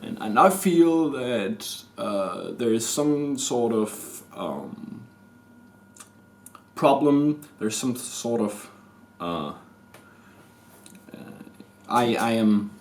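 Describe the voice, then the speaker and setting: A man's voice: mid-range voice, animated, slight background noise. A man of thirty with a Danish accent, talking to his camera in a hesitant, rambling personal confession full of restarts. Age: 30s. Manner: hesitant, halting, many restarts and fillers. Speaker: vlogger. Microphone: camera mic.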